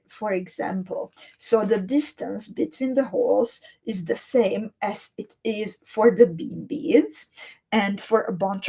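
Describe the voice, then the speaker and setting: A woman's voice: mid-range voice, slightly rough. A woman hosting a beading livestream, chatting as she shows off beads and answers viewers by name. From Hungary or Slovakia. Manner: chatty and descriptive, warmly addressing viewers by name. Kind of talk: live-stream chat, showing beads and reading viewer comments.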